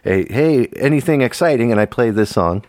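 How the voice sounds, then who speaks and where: Animated man hosting a podcast. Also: mid-range voice, a little fast, no echo, studio quality. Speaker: podcast host. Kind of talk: casual chat on a podcast with callers.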